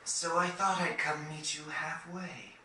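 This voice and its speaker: A man's voice: on the high side, measured pace, sharp tone, slight background noise. A man playing an arrogant villain count in a video game, haughty, commanding and mock-polite. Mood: smug, mocking. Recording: very echoey, distant, music behind.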